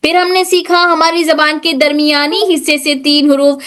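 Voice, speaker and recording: Woman, Tajweed teacher, high voice, patient, repetitive. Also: level tone, normal speed, fairly bright tone. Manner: patient, repeats points and checks if students follow. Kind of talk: online class lesson.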